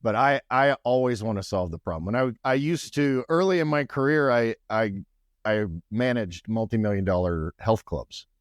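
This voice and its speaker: A man hosting a casual podcast conversation, with a low, deep, loud voice and a talkative, go-getter manner. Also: animated, moderate pace, no room sound, studio quality. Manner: talkative, go-getter energy, frequent restarts. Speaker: podcast host.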